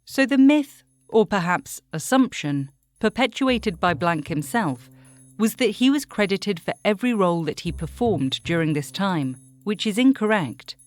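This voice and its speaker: A woman reading scripted documentary narration in a measured, formal, explanatory way. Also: mid-range voice, expressive, a little mellow. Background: faint music.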